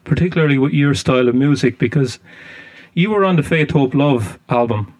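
A man's voice: mid-range voice, brisk, a little mellow, dry recording. Irish guy doing a radio interview, dry close sound, trails off and restarts his questions. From Ireland. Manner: rambling questions with fillers. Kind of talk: casual interview chat.

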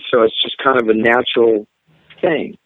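A man's voice: medium pitch, steady tone, moderate pace, no echo. Hesitant middle-aged man on a phone line. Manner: hesitant, restarts often, thoughtful. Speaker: singer being interviewed. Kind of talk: casual interview over a phone line. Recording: dry tinny phone line.

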